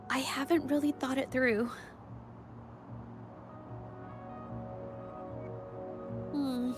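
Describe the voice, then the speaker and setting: Game role: gentle young female painter, high voice, thoughtful and courteous, music behind. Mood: thoughtful, puzzled. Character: gentle, earnest young woman painter turned amateur investigator. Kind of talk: English video game voice acting.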